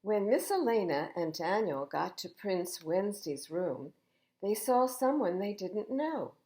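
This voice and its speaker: Older woman reading storybooks, very animated. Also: mid-range voice, measured pace. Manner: emphatic, expressive, addressing young children. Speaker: library storytime reader. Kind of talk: children's storybook reading with chatty asides.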